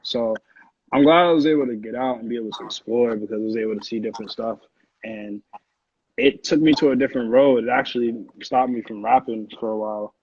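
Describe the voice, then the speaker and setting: A man's voice: mid-range voice, fairly quick, husky. A man in his twenties with an American accent, chatting casually as an interview guest, with slangy, profane speech. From Connecticut, United States. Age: 20s. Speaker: interview guest, music producer. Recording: dull, roomy lo-fi call audio.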